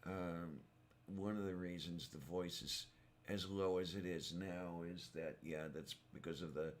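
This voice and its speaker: Brief: low-voiced male stream host, hoarse with a sore throat, slow and rambling. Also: fairly even intonation. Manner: rambling, halting, many fillers. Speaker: livestream host. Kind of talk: casual livestream chat.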